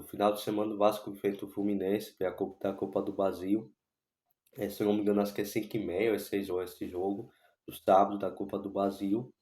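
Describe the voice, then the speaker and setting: Guy, hoarse with a cold, low flat dark voice, rambling fan talk in an echoey room. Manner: rambling, repeats himself with many restarts and fillers. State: hoarse from a sore throat and cold. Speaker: football fan channel host. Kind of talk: casual vlog commentary on football.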